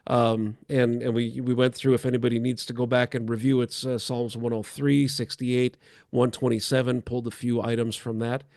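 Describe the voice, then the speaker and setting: A man hosting a casual church chat show, speaking off the cuff in a halting way with frequent pauses. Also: medium pitch, flat delivery, darkish tone, no echo, clean studio sound.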